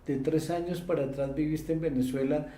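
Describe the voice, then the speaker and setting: A man's voice: a little high, fairly even intonation, a little fast, mellow, roomy sound, recorded from a distance. A man interviewing, putting his questions hesitantly.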